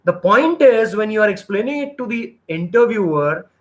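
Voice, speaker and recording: Man, interview trainer, high voice, earnest, rambling, restarts a lot. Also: expressive, normal speed. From India. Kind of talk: live coaching session.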